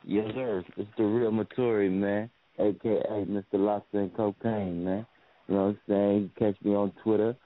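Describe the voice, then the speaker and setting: American male artist guest, lowish voice, informal plugging, tag phrases, dry telephone audio. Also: little pitch movement, normal speed, dry recording. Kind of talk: casual plug of own music. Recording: muffled dry phone line.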